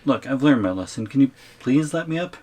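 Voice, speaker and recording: A man's voice: mid-range voice, expressive, a little fast, darkish tone, lightly acted. A man acting a tiny, shrunken captive boyfriend in an ASMR audio roleplay, reluctant and wary, with a hesitant, wry way of protesting.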